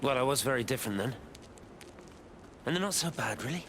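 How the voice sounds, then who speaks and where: An actor with an English accent playing a troubled, guilt-ridden scientist in a dramatic game, in a naturalistic, understated way. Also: male voice, mid-range voice, expressive, brisk, fairly bright tone, slight background noise, lightly acted. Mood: exasperated. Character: troubled British scientist, village-born man, guilt-ridden and defensive. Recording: music laid over the voice.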